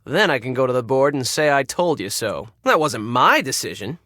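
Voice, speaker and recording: A sharp, bossy, sarcastic spa manager. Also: male voice, medium pitch, big pitch swings, moderate pace, no room sound, studio quality. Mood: conspiratorial, insistent, then scornful, exasperated. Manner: curt, sarcastic, barking orders. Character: bossy irritable sarcastic male spa manager, secretly crooked. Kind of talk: video game voice acting.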